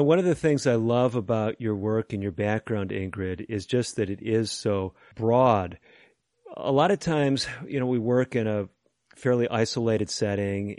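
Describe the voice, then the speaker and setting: A man, a physician, hosting an interview with measured, conversational questions. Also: fairly low pitch, a little mellow, no echo, studio quality.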